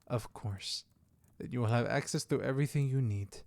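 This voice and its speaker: A man playing a diplomatic elder of a vampire clan in an audio drama, formal, measured and polite, with a creaky voice. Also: medium pitch, darkish tone, no room sound, natural voice. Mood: restrained, polite. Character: courteous, diplomatic youthful-looking male elder of vampire clan. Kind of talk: audio roleplay drama acting.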